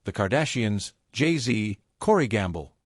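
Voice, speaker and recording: Lively male gossip narrator, close and dry. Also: lowish voice, measured pace, no room sound. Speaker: narrator of a celebrity gossip video. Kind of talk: scripted narration.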